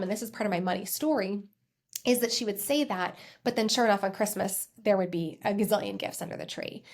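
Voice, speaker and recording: An American woman in her thirties hosting a podcast, telling a personal story in a conversational, self-reflective way with emphatic repetitions. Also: mid-range voice. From United States. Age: 30s. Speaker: podcast host and accountant.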